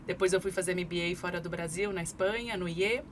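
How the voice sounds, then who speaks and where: A woman in her forties, from Brazil, a marketing expert guest on a podcast, explaining her career in steady, articulate speech with frequent fillers. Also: on the low side, fast talker. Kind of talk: conversational podcast interview. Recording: clear background noise.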